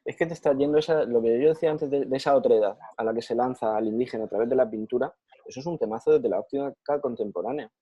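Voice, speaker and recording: A man's voice: medium pitch, quick pace, mellow, breathy edge, no room sound. A man from Spain with a Spanish accent, giving an enthusiastic, repetitive answer in a video call after a lecture. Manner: enthusiastic, repeats phrases for emphasis. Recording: muffled video call audio.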